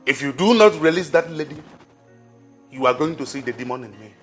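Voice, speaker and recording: An actor playing a forceful man making threats, with commanding speech. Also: on the high side, lively intonation, fairly quick. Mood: threatening. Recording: faint music behind.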